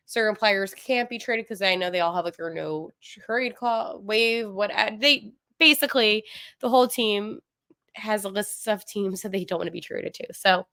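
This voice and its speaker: Chatty bright-voiced young woman podcaster. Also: a little high, clear, resonant tone, no room sound, studio quality. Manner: chatty, animated, many fillers and self-corrections. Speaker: podcast host. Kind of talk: casual sports podcast monologue.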